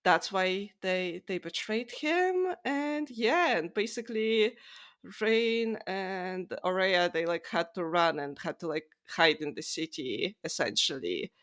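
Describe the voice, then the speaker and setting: Bright-voiced, sweary woman chatting. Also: fairly low pitch, animated, unhurried. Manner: informal, sweary, peppered with "like". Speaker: podcast co-host. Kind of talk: casual book discussion chat.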